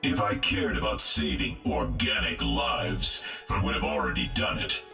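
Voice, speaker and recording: An abyssally deep, creaking machine intelligence from a video game. It speaks in formal, imperious statements with cold menace and metallic processing. Music plays behind the echoing voice. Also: male voice, animated, normal speed, pushed and bright, exaggerated voice. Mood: cold, superior. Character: cold male artificial intelligence robot villain, menacing and superior.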